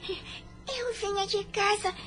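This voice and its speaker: A woman's voice: extremely high pitch, steady tone, slow, over loud music. A woman playing a frightened, timid young girl in a radio drama, plaintive and tearful in a thin childlike voice.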